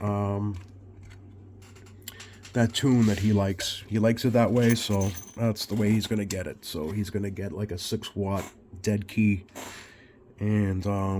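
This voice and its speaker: A man with a mellow, fairly low voice explains repair work in a casual, unscripted way. He takes his time and hesitates as he thinks aloud, with some background noise in the recording. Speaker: radio repair technician hosting a bench video. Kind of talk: casual off-the-cuff repair narration.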